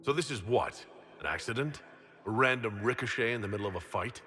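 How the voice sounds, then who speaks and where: A man's voice: medium pitch, expressive, brisk, pushed and bright. A man playing a blunt, skeptical hero and leader in a video game, questioning what he is told. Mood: agitated, skeptical. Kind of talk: dramatic video game voice acting.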